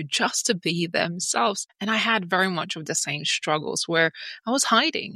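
A woman's voice: mid-range voice, sharp tone, no echo. A woman from Lithuania speaking as a podcast guest, telling her own story in an earnest, emphatic, conversational way. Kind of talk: conversational interview, personal storytelling.

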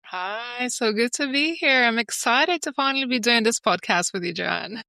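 Bright, earnest woman from Lithuania. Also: on the high side, normal speed, dry recording. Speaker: podcast guest, mentor and speaker. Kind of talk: conversational interview, personal storytelling.

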